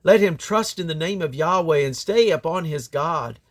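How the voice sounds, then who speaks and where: Male Bible reader, somewhat high pitch, solemn delivery, declamatory, deliberate short phrases. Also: lively intonation. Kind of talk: solemn scripture reading aloud.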